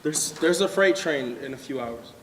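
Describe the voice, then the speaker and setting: A man's voice: mid-range voice, animated, moderate pace, a bit bright, natural voice. A young man acting in a stage play as an impulsive, proud, lovestruck American drifter. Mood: anxious, regretful. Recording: echoey hall, distant mic, hissy noise.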